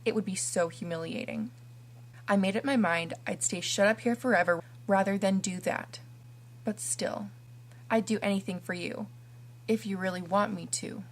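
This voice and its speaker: A woman's voice: medium pitch, moderate pace, lightly acted. A woman voicing an imaginative, dramatic orphan girl who talks earnestly and theatrically. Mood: thoughtful.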